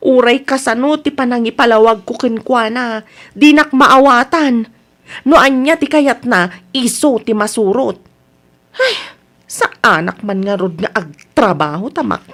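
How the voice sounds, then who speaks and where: A woman's voice: a little high, a little slow, a bit bright. A woman with an Ilocano accent playing an aggrieved, frustrated married daughter in a radio comedy-drama, emotive and full of complaint.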